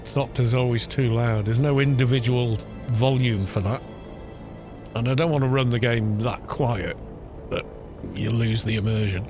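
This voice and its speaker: A relaxed man with a middle-pitched baritone talks his way through a game on a live stream, muttering little asides as he goes. Game music plays clearly behind him. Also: measured pace. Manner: relaxed, muttering to himself between remarks. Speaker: gaming streamer. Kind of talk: casual live-stream commentary while playing. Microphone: studio microphone with low cut.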